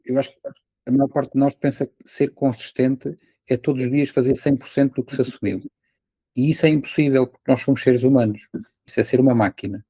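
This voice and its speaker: A man's voice: mid-range voice, warm tone, no echo. A man with a European Portuguese accent talking casually as a podcast guest, explaining things with everyday examples and frequent tag questions.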